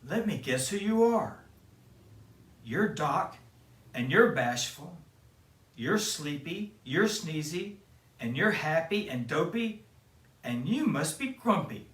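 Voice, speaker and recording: Man putting on a sweet young princess, high and soft, slow, echoey distant sound. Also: big pitch swings, full character voice. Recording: roomy, mic at a distance.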